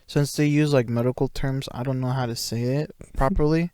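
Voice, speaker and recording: A man's voice: medium pitch, steady tone, brisk, a little mellow, clean tone, dry recording, clean studio sound. A young man co-hosting a casual podcast, playful and joking, given to goofy bits and banter. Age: young adult. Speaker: podcast co-host.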